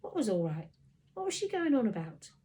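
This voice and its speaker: A woman narrating a children's story in an expressive storytelling style. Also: fairly high pitch, fairly quick, a little hiss or hum. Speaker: story reader.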